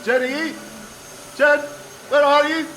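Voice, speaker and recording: A man's voice: very high-pitched, fairly even intonation, slow pace, fairly bright tone, a little hiss or hum, heavily acted, low-quality recording. A man acting a stubborn, friendly ex-army groundsman and husband in an audio drama, in a homely, hesitant, plain-spoken way. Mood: easygoing.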